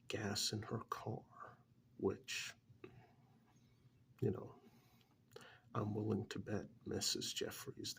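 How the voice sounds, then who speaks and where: A man playing a calm, blunt couples therapist in a soft-spoken ASMR role-play, half-whispering with breathy, deliberate, hesitant pauses. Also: on the low side, a little hiss or hum, heavily acted. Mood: calm, musing. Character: calm, blunt male couples therapist counselling married clients. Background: soft clicking of pencil tapping.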